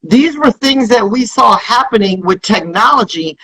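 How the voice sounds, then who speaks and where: Male interview guest, high register, husky tone, emphatic and advisory explainer. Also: animated, moderate pace, bright, forceful tone, low-quality recording. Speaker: interview guest, radio tracking company founder.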